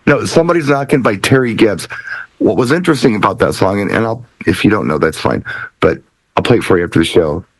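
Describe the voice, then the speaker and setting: A middle-aged man hosting a casual talk show, telling things in a rambling, animated way. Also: lowish voice, fast, breathy edge, dry recording, low-quality recording. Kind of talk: casual chat.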